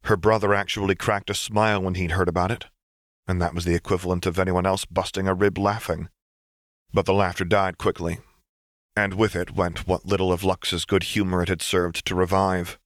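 Low-voiced male audiobook narrator. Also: level tone, normal speed, no echo, clean studio sound. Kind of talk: scripted dramatic story narration.

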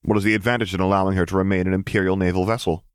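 Man acting a poised princess, composed, diplomatic, quietly steely, audiobook character, dry recording. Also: low-pitched, level tone, racing, smooth, dry recording, close to an everyday voice. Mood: thoughtful, probing.

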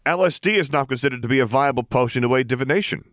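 A man's voice: mid-range voice, rapid-fire, fairly bright tone, dry recording, clean studio sound, pitched above the performer's own voice, natural voice. A man voicing a chatty, deferential vampire henchman in an audiobook story, reporting eagerly and informally to his boss.

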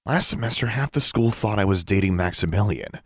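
A man's voice: on the low side, animated, no room sound, studio quality. A man voicing a bold, teasing young schoolgirl witch who bosses others about. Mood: bossy. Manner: brisk, teasing, bossy.

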